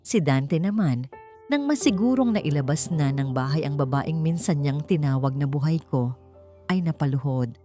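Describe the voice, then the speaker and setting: A woman narrating a scripted romance drama in a measured, suspenseful and melodramatic manner. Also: low voice, animated, dark tone. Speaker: story narrator. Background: music.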